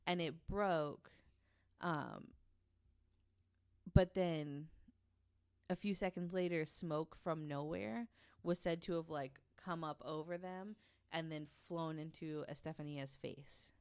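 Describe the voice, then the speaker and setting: Low, creaky-voiced woman telling stories casually. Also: takes it slowly, a little mellow, no room sound, studio quality. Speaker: podcast guest panelist telling a researched story. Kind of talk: casual conversational storytelling.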